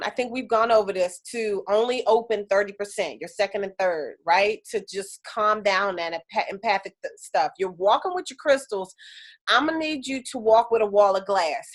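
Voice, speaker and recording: A woman teaching an energy healing class on a video call, chatty and animated, with lots of fillers. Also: mid-range voice, normal speed.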